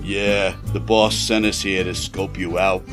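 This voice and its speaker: A man's voice: deep voice, little pitch movement, a little slow, over music. An actor playing a chummy, plain-spoken gangster's henchman with slangy speech in a 1920s audio drama.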